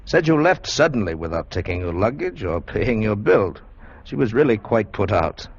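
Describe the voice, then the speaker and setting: Vintage muffled radio drama: coolly rational doctor, low, expressive voice. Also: male voice. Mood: assured. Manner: measured, explanatory, self-assured. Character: calm, authoritative male psychiatrist, coolly rational.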